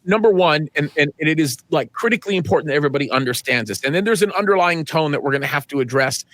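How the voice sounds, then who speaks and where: A man's voice: on the high side, animated, racing, a bit bright. A middle-aged man, a pastor, answering interview questions earnestly, often restarting and building emphasis. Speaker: guest pastor.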